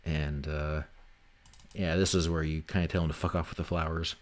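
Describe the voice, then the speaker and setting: Male streamer, low voice, informal and sweary, chatting casually while he works. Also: flat delivery, normal speed. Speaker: livestream host and game developer. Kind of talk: casual livestream chat, thinking aloud while working.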